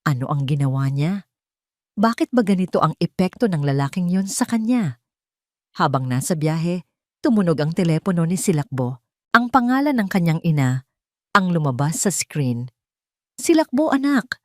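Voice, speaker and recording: A woman's voice: sits low, expressive, moderate pace, a little mellow, dry recording, clean studio sound. A woman narrating a scripted dramatic story as an audio drama narrator.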